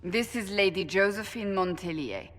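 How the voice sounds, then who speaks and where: A woman's voice: mid-range voice, animated, normal speed, lightly acted. Woman playing a resolute warrior in a reverberant hall. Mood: firm, resolute. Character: stern, resolute female warrior, blunt and guarded. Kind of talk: fantasy game cutscene acting.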